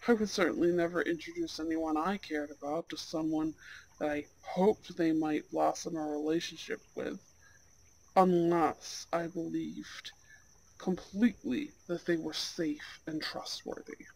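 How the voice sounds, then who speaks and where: A performer voicing a charming, soft-spoken young Goth gentleman on a blind date, in an ASMR roleplay with gentle, courteous, slightly old-fashioned phrasing. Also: medium pitch, fairly even intonation, unhurried, gravelly, poor audio. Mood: earnest, reassuring. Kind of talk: ASMR roleplay, speaking to an unheard listener.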